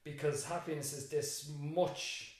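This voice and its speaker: Irish guy, teacher, thinks out loud in big lists, bit high voice, really echoey room. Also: fairly even intonation, measured pace. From Ireland. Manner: reflective, builds emphatic lists. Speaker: teacher, podcast guest. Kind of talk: casual interview conversation.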